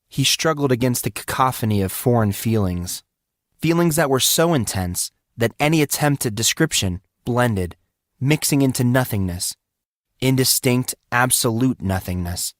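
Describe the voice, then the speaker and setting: A man's voice: mid-range voice, animated, normal speed, no room sound, clean studio sound. A man giving dramatic, intense scripted narration of a story. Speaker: audiobook narrator.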